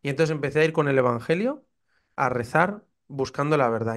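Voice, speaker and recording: Fervent Spanish priest with a smooth voice. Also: male voice, medium pitch. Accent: Castilian Spanish. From Spain. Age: 30s. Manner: earnest, fervent storytelling, acts out his own past doubts. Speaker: priest, podcast guest. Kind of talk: personal testimony in conversation.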